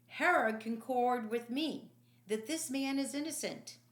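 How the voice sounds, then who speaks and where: A woman voicing an exasperated, commanding Roman governor in a loud, emphatic, declamatory storybook manner. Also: a little high, a little slow, reverberant, voice pushed up, close to an everyday voice. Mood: declaring, bargaining. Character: exasperated, commanding Roman governor, grown man, shouting judgments.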